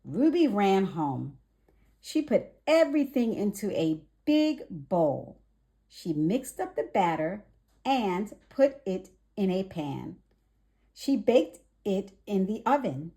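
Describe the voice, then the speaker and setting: A woman reading a children's story aloud in a deliberate, expressive narrating style. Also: medium pitch. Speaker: storybook reader.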